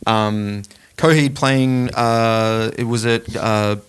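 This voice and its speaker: A man's voice: fairly low pitch, fairly even intonation, slow, smooth. A man co-hosting a music podcast in a casual, blokey, irreverent way. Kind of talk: casual chat.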